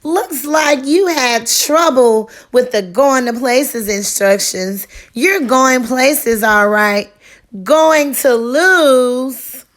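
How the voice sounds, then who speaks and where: A smug schoolyard rival from a children's book, acted by a woman. The voice is taunting and lifted in pitch, with slow, drawn-out words. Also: a bit bright, heavily acted. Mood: mocking. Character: mocking, smug schoolchild racer.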